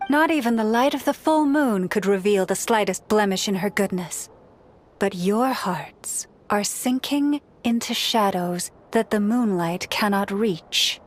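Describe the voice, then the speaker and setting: A woman plays a noble lady of a moon order in a fantasy video game. She speaks solemnly and formally at a medium pitch, serene, with some background noise. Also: natural voice. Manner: solemn, poetic, measured phrasing.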